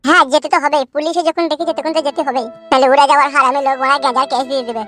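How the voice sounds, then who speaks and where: A performer voicing a cowardly, henpecked village husband in a cartoon who whines and stammers. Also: male voice, extremely high pitch, steady tone, racing, pushed and bright, faint music behind. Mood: complaining. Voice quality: whiny, stammering.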